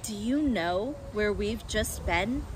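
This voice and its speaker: A woman's voice: a little high, lively intonation, moderate pace, pushed and bright, slight background noise, rough recording. A woman voicing a crafty, generous male fox father in a children's story, speaking in an assured, courteous, persuasive way. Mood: sly, confident.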